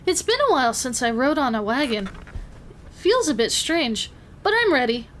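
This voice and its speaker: A woman voicing a small, plucky young gnome adventurer in a fantasy audio story, curious and expressive. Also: high voice, normal speed, a little hiss or hum. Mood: hopeful, tired.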